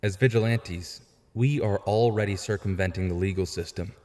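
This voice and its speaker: An actor playing a frank, principled younger vigilante in an audio drama, earnest and articulate. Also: male voice, lowish voice, normal speed, a little mellow, natural voice. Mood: earnest argument. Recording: long hall reverb.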